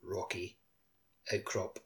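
A man co-hosting a podcast, chatting casually about a video game. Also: slow pace. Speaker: podcast co-host.

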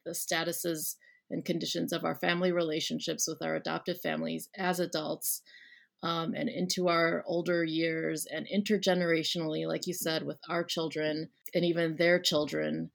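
Deep, gravelly-voiced woman. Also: level tone, normal speed. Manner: intense, analytical, building arguments. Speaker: adult adoptee panelist. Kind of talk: passionate panel conversation.

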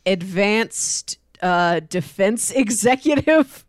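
A woman's voice: medium pitch, lively intonation, slow, dry recording. A woman co-hosting a casual comics discussion with a wry, informal manner, speaking into a close microphone. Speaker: podcast co-host reviewing comics.

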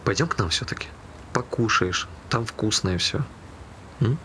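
An actor playing a gentle, caring father in a stage drama, speaking in a hushed, unhurried way. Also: on the low side, expressive, slight background noise. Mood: gentle concern. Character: Tolya, gentle caring man, father putting his child to bed. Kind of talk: stage drama, storytelling and quiet dialogue. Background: music.

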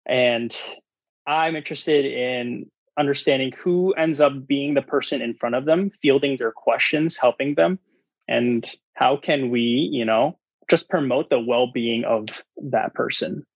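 A man's voice: mid-range voice, a little slow, dry recording. American man, dry dull-sounding recording. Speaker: physical therapy researcher. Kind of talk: conversational interview answer.

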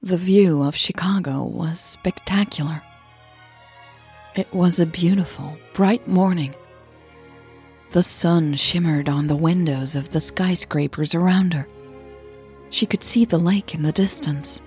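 A woman podcast host delivering a scripted narration in a measured, dramatic storytelling manner. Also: lowish voice, a little mellow, faint music behind.

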